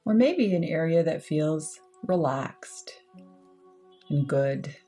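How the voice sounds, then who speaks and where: A woman leading a guided meditation, speaking in unhurried, measured short phrases with pauses between them. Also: low-pitched, lively intonation, warm tone, soft background music. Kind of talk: guided meditation, slow soothing instruction.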